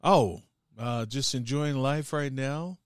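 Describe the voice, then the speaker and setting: A man co-hosting a podcast, teasing and joking in relaxed banter. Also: mid-range voice, slow pace, clean tone, dry recording, clean studio sound. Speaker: podcast co-host. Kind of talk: casual chat.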